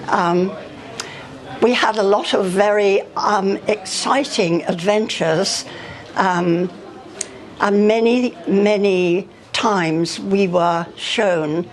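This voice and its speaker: An older woman giving an unscripted talk of her recollections, pausing often and speaking hesitantly between phrases. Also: medium pitch. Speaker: speaker on earth energies and dowsing. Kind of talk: unscripted spoken recollection to an audience.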